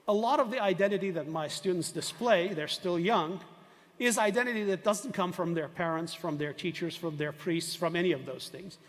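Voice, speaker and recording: An older man lectures in a discursive, emphatic way and often poses rhetorical questions to his audience. His voice sits a little high for a man. The sound carries a long reverb from a lecture hall. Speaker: professor lecturing. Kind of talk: university lecture.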